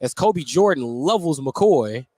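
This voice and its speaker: A high-voiced man works as a radio play-by-play announcer for basketball. He follows the action in short, clipped phrases, naming each move as it happens. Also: animated, slow, a little mellow, slight background noise. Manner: clipped running descriptions of the action. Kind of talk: live basketball play-by-play commentary. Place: at a basketball game in a gym.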